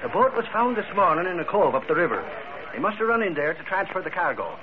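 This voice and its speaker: An actor playing a brash, excitable, sarcastic police-beat reporter, slangy and emphatic, in 1940s radio style. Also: male voice, high-pitched, fast, a crowd in the background. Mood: confident. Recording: muffled, noisy old radio recording.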